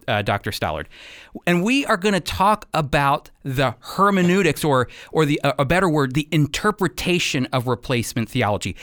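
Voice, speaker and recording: A man's voice: fairly high pitch, fairly bright tone, no echo, studio quality. A man hosting a religious radio program, explaining things earnestly in a conversational teaching style. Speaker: radio show host.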